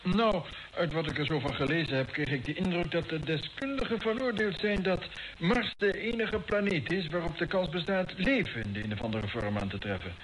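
Skeptical reporter, fairly high voice, animated intonation, polite probing, old radio drama. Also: male voice, brisk, slight background noise, low-quality recording. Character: inquisitive, skeptical male reporter at a space briefing.

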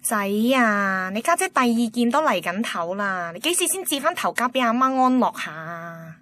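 A woman's voice: fairly high pitch, sing-song, moderate pace, a bit bright, no room sound. A woman with a Hong Kong Cantonese accent playing a doting older mother who nags and coaxes in a radio drama. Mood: nagging, coaxing. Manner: naggy, coaxing. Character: nagging, doting older mother of grown children.

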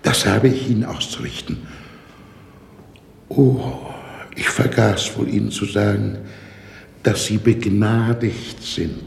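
In an echoing hall, a prison warder talks slowly in a very deep voice. He is coolly formal and evasive, pedantic and dryly mocking, as in a radio drama. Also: vocal fry, slight background noise, exaggerated voice. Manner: deadpan, pedantic, unhurried. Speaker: radio play actor. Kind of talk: German radio drama acting. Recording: echoey reverberant space, music in the mix.

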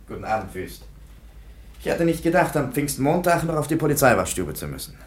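An actor playing a tired, matter-of-fact police commissioner in a radio play. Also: male voice, mid-range voice, very animated, a little fast, close to an everyday voice. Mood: stern.

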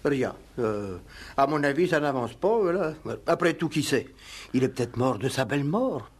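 An actor in a 1960s French radio play as a simple village police sergeant, hesitant, rustic and comically deferential. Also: male voice, medium pitch, animated, normal speed, close to an everyday voice. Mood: hesitant, apologetic.

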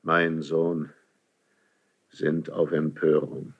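Deep-voiced, brooding old king. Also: little pitch movement, very slow, husky, heavily acted. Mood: weary, grave. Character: troubled Spanish king, proud yet weary and guilt-ridden. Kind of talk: classical German radio drama acting. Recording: dull 1970s radio drama recording.

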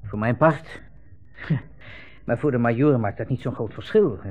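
Guy playing cynical army captain in old radio drama, offhand n brusque, on the lower side. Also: measured pace, a little mellow, lightly acted. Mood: awkward, offhand. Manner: hesitant hemming, offhand, dismissive. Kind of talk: 1960s Dutch radio play acting.

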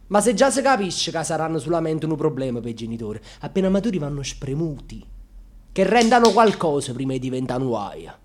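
An actor playing an intense, bitterly ironic male storyteller in a radio theatre monologue, with a husky, dramatic delivery that moves between murmurs and outbursts. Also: lowish voice, slight background noise, close to an everyday voice. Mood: indignant. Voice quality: husky, often dropping into breathy whispers. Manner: dramatic, swinging from hushed murmurs to loud outbursts.

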